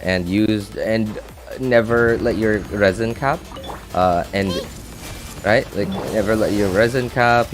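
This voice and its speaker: A man hosting a live game stream, talking casually and thinking aloud as he answers viewers, often repeating his phrases. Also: on the low side.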